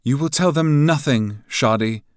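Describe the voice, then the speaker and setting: A man's voice: a little high, unhurried, clean tone, no echo, clean studio sound, voice pushed up, natural voice. A man voicing a cold, mocking woman official who speaks coolly and sharply. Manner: cool, sharp, condescending.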